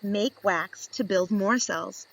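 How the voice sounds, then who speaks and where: A woman reading a picture book aloud to young children, expressive and instructive, putting questions to her listeners and answering them. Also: mid-range voice, takes it slowly, vocal fry, low-quality recording.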